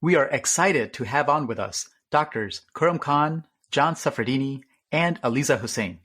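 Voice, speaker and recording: A man hosting a podcast, opening the episode and greeting guests in an energetic, welcoming way. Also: mid-range voice, moderate pace, breathy edge. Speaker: podcast co-host. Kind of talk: podcast host talk.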